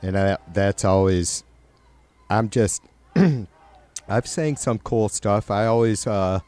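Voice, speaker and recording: A middle-aged man with a nasal, raspy voice, hosting a livestream and chatting loosely with viewers, rambling and swearing. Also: fairly low pitch, fairly even intonation, slow pace, a little mellow. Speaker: livestream host. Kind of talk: casual chat with live viewers.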